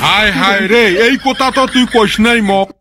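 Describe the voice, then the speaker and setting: A man's voice: sits high, moderate pace, noisy background, lightly acted. A man with a Rajbongshi accent voicing a stingy, grumbling village father in a comic cartoon. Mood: indignant. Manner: complaining, scolding.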